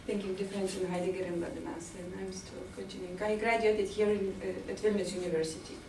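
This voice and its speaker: A woman's voice: mid-range voice, steady tone, fast. A woman with a Lithuanian accent, a researcher welcoming guests and introducing herself informally. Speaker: researcher and local host. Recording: echoey room, distant mic, loud noise.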